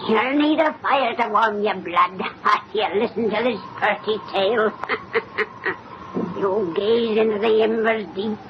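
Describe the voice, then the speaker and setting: A woman's voice: mid-range voice, unhurried, natural voice. A woman playing a gleefully sinister, cackling 117-year-old witch who tells tales in rustic dialect, in old radio horror style. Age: older. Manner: rustic old-fashioned dialect, relishing the macabre. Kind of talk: 1930s radio horror acting. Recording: noisy, muffled old radio recording.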